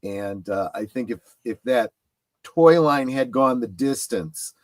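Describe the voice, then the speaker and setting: A man's voice: medium pitch, expressive, slow pace, no room sound. A man chatting casually on a panel, explaining things in a discursive way. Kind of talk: casual panel chat about collecting.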